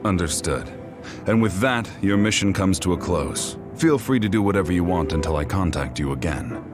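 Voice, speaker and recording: Game commander: extremely low voice, stern, secretive, curt, over loud music. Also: male voice, lively intonation, moderate pace. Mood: guarded, stern. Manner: curt, guarded, authoritative. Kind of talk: dramatic video game acting.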